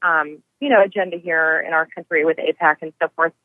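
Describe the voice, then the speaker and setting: A woman's voice: low voice, steady tone, fairly quick, no room sound. A woman from Texas calling in to a talk show, hesitant and full of fillers. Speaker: caller. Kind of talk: phone-in question. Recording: tinny phone line.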